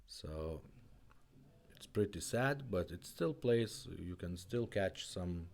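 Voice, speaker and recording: A man giving a casual product demonstration in halting, plain English. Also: deep voice, animated, darkish tone.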